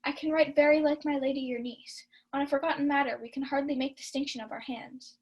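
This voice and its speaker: A young woman playing a shrewd, mischievous household servant in a Shakespeare radio play, brisk and conspiratorial. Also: high-pitched, steady tone, lightly acted. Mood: scheming. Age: young adult.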